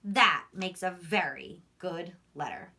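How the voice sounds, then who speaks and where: A woman reading the part of a doubtful, gloomy male toad in a children's storybook, with expressive, deliberate delivery. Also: lowish voice, voice pushed down, heavily acted. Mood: touched. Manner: expressive, deliberate read-aloud.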